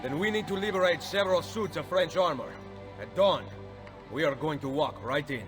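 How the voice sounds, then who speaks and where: An actor playing a composed, shrewd Italian assassin hero in a video game, speaking with an Italian accent in a purposeful way. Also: male voice, on the high side, moderate pace, music in the background, lightly acted. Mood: confident, plotting. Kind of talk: dramatic video game acting.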